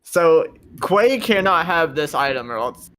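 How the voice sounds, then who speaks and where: Slow-talking, expressive male tabletop player. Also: on the high side, a little hiss or hum. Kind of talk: casual chat, describing character actions.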